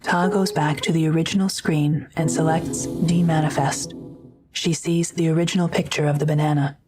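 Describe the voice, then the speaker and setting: Low-pitched woman narrating an audio drama. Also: level tone, normal speed, darkish tone, faint music behind.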